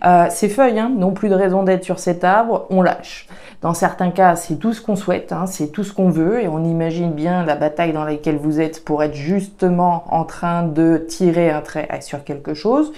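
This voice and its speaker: Mellow-voiced woman reading oracle cards. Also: fairly low pitch, fairly even intonation, moderate pace. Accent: regional French accent. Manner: thinks aloud, many fillers and restarts, frequent "hein". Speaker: tarot and oracle card reader. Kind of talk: casual spoken card reading to viewers.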